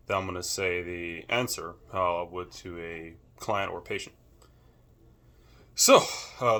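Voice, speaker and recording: A young man with a deep voice records a class assignment, talking casually and thinking aloud with many fillers. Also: pushed and bright. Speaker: student. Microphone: camera held or set nearby.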